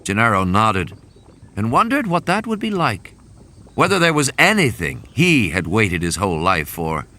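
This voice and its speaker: Male audiobook narrator with lively intonation. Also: lowish voice, moderate pace. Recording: sound effects and vehicle ambience behind. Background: vehicle and ambient sound effects.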